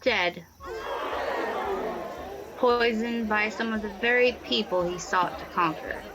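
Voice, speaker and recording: A woman's voice: medium pitch, takes it slowly, crowd noise, lightly acted, poor audio. A woman playing a cold, ambitious noblewoman in a radio play, speaking in cool declarations. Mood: cold, resolute. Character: ambitious, cold sorceress noblewoman, would-be queen.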